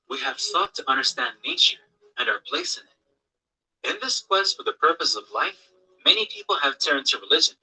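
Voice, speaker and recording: Male documentary narrator, raspy and sharp-edged, solemn and declarative; scripted read. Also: a little high, rough recording.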